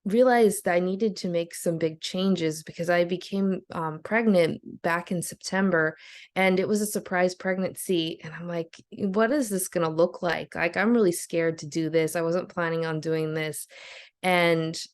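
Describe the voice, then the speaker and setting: A woman's voice: lowish voice, steady tone, moderate pace. A woman telling a personal story on a video call, earnest and a little hesitant. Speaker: course participant.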